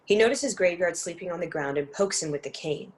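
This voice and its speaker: A woman reading scripted dramatic narration and stage directions in a measured, deliberate way. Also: on the low side, a little hiss or hum.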